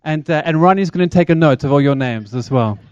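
A man's voice: on the high side, lively intonation, fast, a little mellow, smooth, dry recording, dull audio. A man from South Africa with a South African accent, giving a chatty, engaging talk to a church congregation as a charity speaker. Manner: chatty, engaging, asks the crowd questions.

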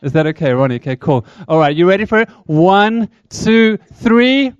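A man's voice: high voice, big pitch swings, moderate pace, clear, resonant tone, no echo, highs cut off. Upbeat South African man addressing a congregation. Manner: chatty, engaging, asks the crowd questions. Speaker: charity speaker at church. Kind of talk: upbeat church talk to congregation.

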